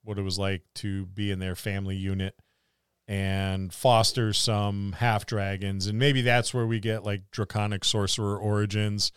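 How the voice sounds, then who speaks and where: A man with a fairly low, clean and resonant voice chats as a podcast co-host. He muses aloud, sketching little imagined scenarios in a relaxed conversational way. The sound is dry and close, with very little room. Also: normal speed, clean tone, no room sound. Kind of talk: casual discussion of tabletop roleplaying.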